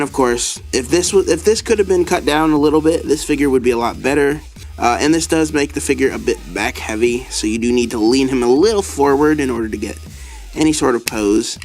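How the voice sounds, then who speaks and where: Young man, raspy from a cold, casual toy-review host, fairly high voice, faint music behind. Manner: casual, chatty, with filler ums and laughs. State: hoarse from a cold.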